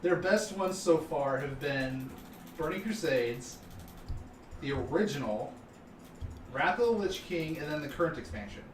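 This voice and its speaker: A man's voice: on the high side, lively intonation, unhurried, pauses often, slight background noise. A man co-commentating on a game stream in casual chat, opinionated and explanatory, swearing freely. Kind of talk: casual chat about games. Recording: roomy, distant mic with music behind. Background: music.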